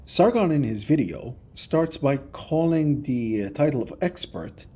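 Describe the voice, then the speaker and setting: A man giving a measured, explanatory commentary for a response video, recorded on a phone. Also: mid-range voice, lively intonation, mellow, slightly rough.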